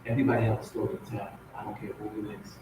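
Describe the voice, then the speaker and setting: A man's voice: lowish voice, little pitch movement, racing. Very echoey, distant man giving personal testimony. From raised in Compton, California and Portmore, Jamaica. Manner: emphatic, repeats phrases for weight. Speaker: spoken word poet, guest on a talk show. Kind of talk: personal testimony, informal storytelling. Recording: very echoey, distant, noisy audio.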